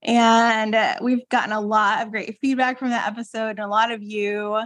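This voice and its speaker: A woman with a fairly high voice co-hosts a podcast. She talks in a relaxed, chatty way, with fillers, restarts and easy laughter. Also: normal speed. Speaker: podcast co-host. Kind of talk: casual podcast chat.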